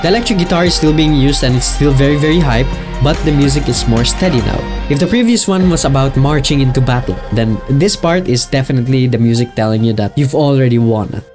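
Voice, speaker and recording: Man, mid-pitched, informal video essay narration, enthusiastic delivery, music underneath. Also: brisk, hardly any pauses. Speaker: video creator. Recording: music behind the voice. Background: music with guitar.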